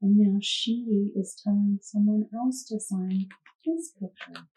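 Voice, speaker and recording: A woman reading a picture book aloud to children, expressive, pausing to ask gentle prompting questions. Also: mid-range voice, poor audio. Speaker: puppet show storyteller.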